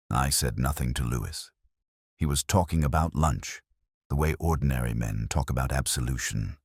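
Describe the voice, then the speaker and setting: Man acting older English detective, very deep voice, dry close sound, measured literary narration, brooding, audio drama. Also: no echo, full character voice.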